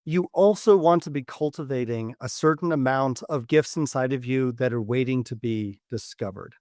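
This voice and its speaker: Man, podcast host, dating coach, medium pitch, measured phrasing, repeats words, instructional monologue, dry recording. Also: darkish tone, no echo.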